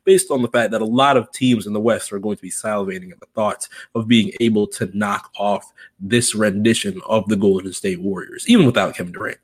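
Low-voiced man talking sports, measured and wordy. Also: slightly rough. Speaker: sports podcast host. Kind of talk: sports discussion, semi-formal commentary.

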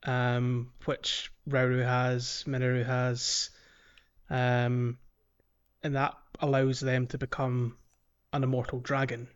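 A man's voice: medium pitch, monotone, smooth. Hesitant man, slightly muffled recording. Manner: hesitant, halting, searching for words. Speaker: podcast co-host. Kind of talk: casual video game discussion.

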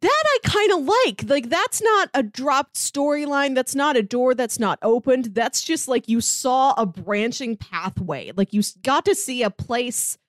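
A woman's voice: high-pitched, lively intonation, unhurried, hardly any pauses, no room sound, studio quality. A woman co-hosting a TV podcast, talking through the season in a chatty, analytical way and laughing now and then. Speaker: podcast co-host. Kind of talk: casual TV recap chat.

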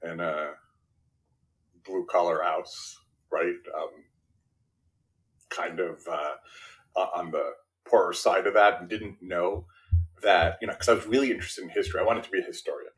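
A deep-voiced man in his fifties, raised in Brooklyn, with Spanish as his first language. He talks casually as a podcast guest. He is self-deprecating and keeps checking in with short tag questions. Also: fairly even intonation, normal speed, long pauses, rough recording. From Brooklyn, New York. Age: 50s. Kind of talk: casual interview conversation.

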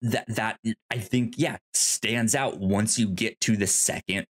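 A man co-hosting a podcast in a casual film discussion, speaking haltingly and often repeating words as he works toward his point. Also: fairly low pitch. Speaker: podcast co-host.

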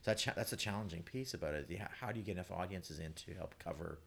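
A man chatting as a playwright guest on a panel, in a halting, rambling, conversational way. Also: sits low, gravelly, a bit bright, slight background noise. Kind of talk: casual panel discussion.

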